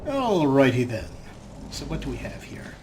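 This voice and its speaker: A man's voice: medium pitch, huge pitch swings, normal speed. A man giving casual, sarcastic gameplay commentary as a let's play host. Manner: wry, sarcastic. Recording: noisy, game ambience behind voice.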